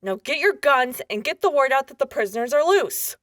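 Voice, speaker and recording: Woman as a high-voiced, commanding wasteland sheriff. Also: expressive, brisk, background noise. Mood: angry. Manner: gruff, bossy, sarcastic. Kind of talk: post-apocalyptic radio drama acting.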